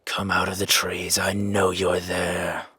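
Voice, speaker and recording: A man voicing a gruff, wary werewolf in a fantasy audio roleplay, brooding and terse, with a breathy, menacing growl. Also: low-pitched, completely flat delivery, slow pace, pushed and bright, no room sound, full character voice. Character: gruff, wary male werewolf living in the wilderness.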